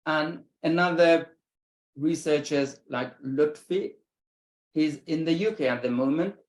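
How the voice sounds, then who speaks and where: A man's voice: fairly high pitch, roomy sound, low-quality recording. Iranian-accented man giving an academic talk. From Iran. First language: Lari. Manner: explanatory, steady lecturing with pauses between phrases. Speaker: linguistics researcher presenting. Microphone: video call.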